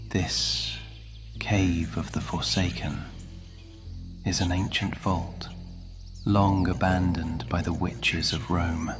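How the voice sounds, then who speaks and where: Casting: male actor for ancient supernatural nobleman, very low and unhurried, breathy half-whisper, cultured and condescending, ASMR fantasy drama, music underneath. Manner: measured, condescending, persuasive, often dropping into whisper. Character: scheming, cultured, centuries-old male supernatural lord. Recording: music behind the voice.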